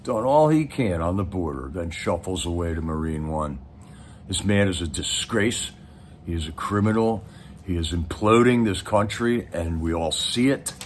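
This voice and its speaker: A man's voice: sits low, animated, moderate pace, a little hiss or hum. A man hosting a livestream with casual, rambling, folksy commentary, speaking straight to his viewers. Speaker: livestream host.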